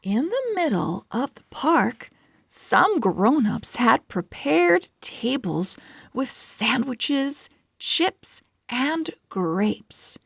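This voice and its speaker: Woman, children's read-along narrator, very slow pace, lively pitch, creaky edges, close dry sound. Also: no room sound. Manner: deliberate, expressive phrasing for young readers. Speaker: children's book read-aloud host.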